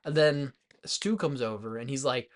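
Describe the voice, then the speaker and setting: A man co-hosting a movie podcast, talking in a loose, informal way with lots of halting filler words as he retells things. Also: medium pitch. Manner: informal, heavy use of "like", halting, animated retelling. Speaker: podcast co-host. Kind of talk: rowdy casual movie chat.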